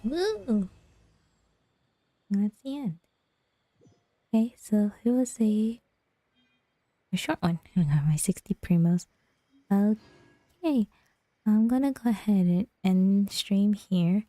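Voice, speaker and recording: A woman's voice: mid-range voice, expressive, slow pace, long pauses, mellow, no room sound. A woman game streamer chatting casually to her livestream viewers.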